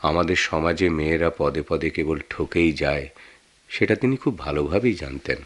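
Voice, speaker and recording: Casting: thoughtful Bangladeshi husband in a radio play, extremely low voice, measured, earnest, explains patiently. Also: male voice. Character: reassuring, thoughtful husband in a family drama. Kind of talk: Bengali radio drama acting.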